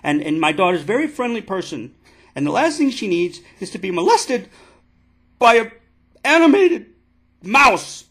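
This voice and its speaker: A man playing an indignant father making an absurd complaint on a prank call, halting and repeating himself insistently. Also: high-pitched, big pitch swings, fairly bright tone, full character voice. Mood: indignant, agitated. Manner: halting, repetitive, insistent, addressing listener as ma'am. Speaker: prank caller.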